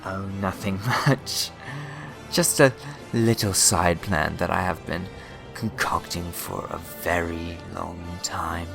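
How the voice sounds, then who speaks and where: A smug, meddling villain played by a man with a fairly low voice. He talks with teasing, self-satisfied courtesy in a sci-fi audio drama, over clear music. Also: steady tone, slow pace, heavily acted. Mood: sly, confiding. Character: sly, smug, meddling male alien time-traveller schemer. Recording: loud music behind the voice.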